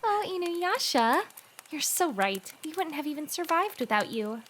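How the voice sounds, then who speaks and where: A woman playing a caring, teasing teenage girl with an expressive, friendly manner in a fan audio drama. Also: high-pitched, moderate pace, a little hiss or hum.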